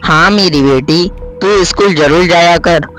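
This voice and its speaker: A man's voice: a little high, unhurried, a bit bright, soft background music, low-quality recording. A man voicing an anxious, scolding middle-aged mother in a spoken story. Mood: gentle.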